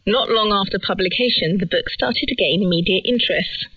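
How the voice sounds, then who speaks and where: A woman's voice: mid-range voice, normal speed, husky, no echo. A woman presenting a radio documentary with scripted narration. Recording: dull lo-fi shortwave radio capture.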